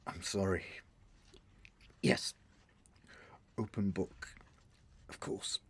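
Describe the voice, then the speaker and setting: A British man playing a shaken, injured intelligence officer in a radio drama, halting and emotional. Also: low voice, husky, exaggerated voice. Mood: subdued, confessional.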